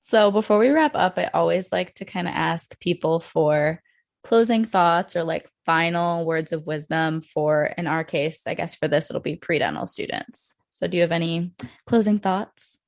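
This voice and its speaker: Low, gravelly-voiced woman host. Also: normal speed. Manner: friendly, laughs often, upbeat. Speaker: podcast host. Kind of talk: casual interview chat.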